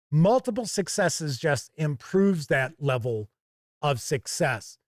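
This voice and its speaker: A man giving an explanatory game review, talking through rules in short, measured, emphatic phrases. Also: fairly high pitch, dry recording, clean studio sound. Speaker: board game reviewer and host.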